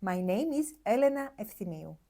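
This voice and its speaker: A woman's voice: mid-range voice, normal speed. Earnest woman teaching to camera. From Cyprus. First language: Greek. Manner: animated, earnest and encouraging, with rhetorical questions. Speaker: language teacher. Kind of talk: explaining to camera.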